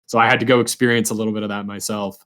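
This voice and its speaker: A man hosting a casual interview podcast, speaking informally and haltingly, often repeating his words. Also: lowish voice, level tone, fairly bright tone. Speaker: podcast host.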